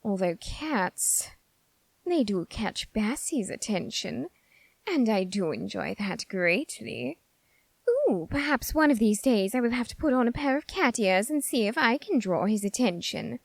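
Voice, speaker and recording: A woman playing a flamboyant, lovestruck, vain reaper in a theatrical audio roleplay monologue, with dramatic, drawn-out words. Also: a little high, animated, creaky, no echo, exaggerated voice. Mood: scheming, eager.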